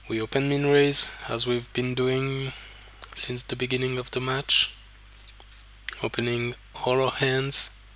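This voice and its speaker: A French-accented man whose first language is French speaks slowly, taking his time over each point. His voice has a bright, forceful edge. He walks through poker decisions in a halting, thinking-aloud way and often repeats words as he goes. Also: mid-range voice. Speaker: poker coach. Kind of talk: explaining hands over screen recording.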